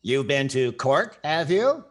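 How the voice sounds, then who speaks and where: Lively, witty radio comedy host played by a man. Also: mid-range voice, slow pace. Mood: wry, inquisitive. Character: dry, witty male comedy show host. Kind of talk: 1940s radio comedy acting.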